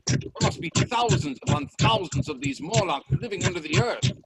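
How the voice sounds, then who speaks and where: A man playing a bold, inquisitive gentleman explorer in a radio play, speaking in deliberate, theatrical statements. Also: very high voice, sing-song, vocal fry, full character voice. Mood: determined. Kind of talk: radio play dialogue acting. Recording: faint noise on video-call audio.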